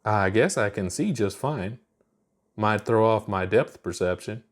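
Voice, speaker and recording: A man's voice: fairly low pitch, expressive, unhurried. A man voicing a mild, earnest young alien astronaut in a plain-spoken, unbothered way for a story. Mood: matter-of-fact.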